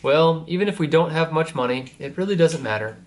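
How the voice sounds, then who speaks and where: A man's voice: on the high side, expressive, fairly quick, lightly acted. Humble, thankful Roman father male reader: jovial storybook delivery, lightly raised voice, slight background noise. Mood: jovial, content. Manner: good-humoured storybook dialogue.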